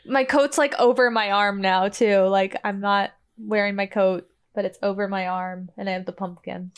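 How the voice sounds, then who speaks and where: A woman's voice: medium pitch, fairly even intonation, normal speed, clear, resonant tone. A woman playing a tabletop role-playing game, chatting casually as she explains things. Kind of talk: casual chat.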